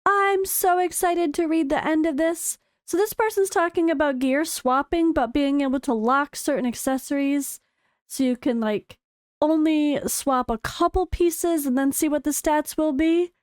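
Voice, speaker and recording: An American woman with a slightly hoarse voice, a gaming content creator chatting excitedly through developer notes. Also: high-pitched, measured pace, no room sound, studio quality. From United States. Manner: chatty and excitable, with frequent fillers. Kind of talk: casual commentary reading developer notes.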